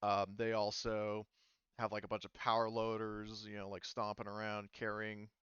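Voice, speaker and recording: Rambling man running a game, smooth voice. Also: fairly low pitch, monotone, normal speed, a bit bright, dry recording, dull audio. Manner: loose and rambling, many fillers. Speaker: game master. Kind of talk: running a tabletop game, casual.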